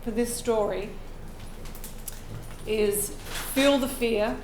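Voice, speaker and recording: An Australian woman speaks to an audience in a slightly high voice, a little hoarse from a cold. She is earnest and reflective and clears her throat now and then. The room is echoey, with steady background noise. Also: drawn-out words. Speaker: open mic storyteller. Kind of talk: personal story told to a live audience.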